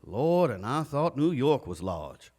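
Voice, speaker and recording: A man's voice: medium pitch, very animated, takes it slowly, a little mellow. An actor playing an earnest young adventurer reading out his letters home in a live stage drama, plain-spoken and declamatory. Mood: wry.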